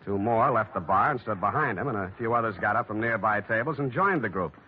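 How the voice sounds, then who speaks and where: An actor playing a wry, unflappable hard-boiled private detective, deadpan and wisecracking, in 1940s radio style. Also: male voice, fairly low pitch, quick pace, close to an everyday voice. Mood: wry narration. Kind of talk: 1940s radio acting with first-person narration. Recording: dull vintage radio recording.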